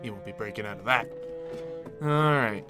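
A man's voice: on the low side, moderate pace, over music. A man hosting a game video with playful improvised roleplay, in a jokey, rambling way with mumbled asides.

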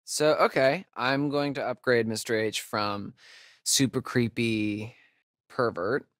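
A man's voice: medium pitch, fairly even intonation, fairly bright tone, dry recording. Wisecracking young American guy, dry recording. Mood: joking, animated. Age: young adult. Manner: casual, sarcastic, halting asides. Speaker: video game voice actor. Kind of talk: naturalistic video game dialogue.

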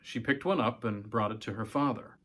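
A man's voice: lowish voice, normal speed, a bit bright. A man in his forties reading a short story aloud as scripted narration. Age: 40s. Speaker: story reader, host. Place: small room at home.

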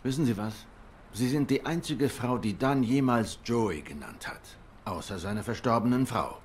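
An actor playing a middle-aged private detective in a noir game, questioning in a measured, dry manner. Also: male voice, mid-range voice, lively intonation, a little hiss or hum, natural voice. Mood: dry, knowing. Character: cool, probing male cat private detective, middle-aged. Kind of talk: German game dub, noir dialogue.

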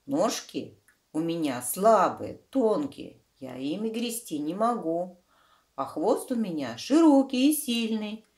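A woman's voice: fairly low pitch, very animated, slow, a little mellow, voice pushed up, full character voice. A woman voicing a matter-of-fact crayfish in a children's storybook, explaining itself emphatically. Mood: emphatic. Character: matter-of-fact crayfish with weak little legs.

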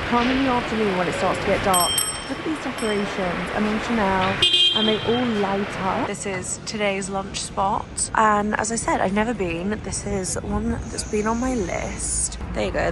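A woman's voice: mid-range voice, heavy background noise. Gushing young woman vlogging. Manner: gushing, chatty, talking to camera while walking. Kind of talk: casual shopping vlog chat. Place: busy city street and shops, outdoors and indoors. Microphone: handheld camera at arm's length. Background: music.

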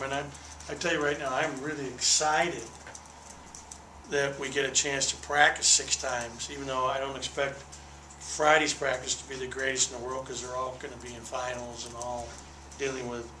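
An older man coaching a college team speaks into a table mic with a bright, forceful tone. His answers are candid and detailed, and he doubles back on words as he restarts. The small press room leaves a roomy echo around him. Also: medium pitch, flat delivery, moderate pace, a little hiss or hum. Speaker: basketball head coach. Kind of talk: postgame press conference answers. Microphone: table microphone on a desk.

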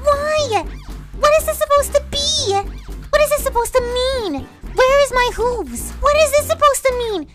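Timid female cartoon pony, extremely high girlish voice, sweet, halting, apologetic, reacting in character, children's music underneath. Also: expressive. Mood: alarmed, distressed. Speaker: in-character host reacting to pictures. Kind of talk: improvised in-character reaction commentary.

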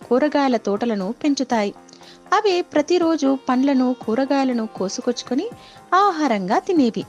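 High-pitched woman telling children's moral stories. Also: darkish tone. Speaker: storyteller. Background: faint music.

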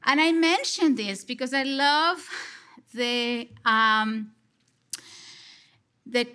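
A middle-aged woman from Colombia with a Colombian Spanish accent, giving an expressive conference response from a lectern on a large stage, speaking into a headset mic. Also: on the high side. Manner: expressive, gesturing, slow and deliberate. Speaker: lecture respondent, psychology professor.

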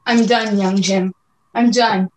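A woman playing an ailing, desperate old pirate captain with gruff sailor talk in an amateur radio play. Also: medium pitch, steady tone, slow, lightly acted, low-quality recording. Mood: desperate, failing.

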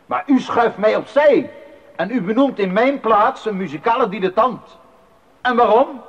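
Proud musician, declamatory and indignant, high-pitched, muffled radio play recording. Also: male voice, normal speed. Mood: defiant, indignant. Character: proud, defiant male court musician standing up to his lord. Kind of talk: 1980s radio play acting. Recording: dull, band-limited 1980s radio recording.

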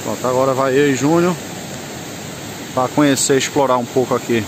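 A man's voice: mid-range voice, fairly even intonation, normal speed, background noise. Man from touring a campsite outdoors. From Northeast Brazil. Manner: chatty, pointing things out, loose and rambling. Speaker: moto travel vlogger. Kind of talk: casual vlog tour narration. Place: outdoors at a rural campsite by a river and woods.

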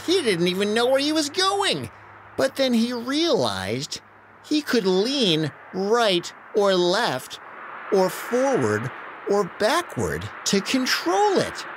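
A woman narrating a story for children in an expressive, animated storytelling style. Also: a little high, noisy background. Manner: expressive, acts out excited exclamations.

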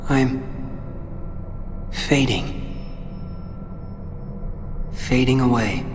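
An actor playing a brooding, quiet teenage boy in a video game cutscene. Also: mid-range voice, drawn-out words. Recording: reverberant with music.

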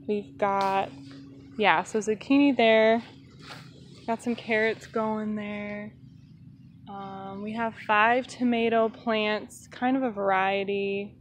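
A woman filming a relaxed, casual tour of her backyard garden on a phone, chatting with plenty of ums. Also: mid-range voice, slow pace, slight background noise. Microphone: phone held while walking.

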